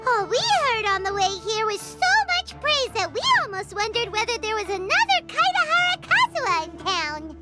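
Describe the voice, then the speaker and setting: A woman voicing a small, childlike, excitable fairy companion in a video game, chirpy and exclamatory. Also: very high-pitched, normal speed, few pauses. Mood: puzzled, indignant. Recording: loud music behind the voice. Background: loud music.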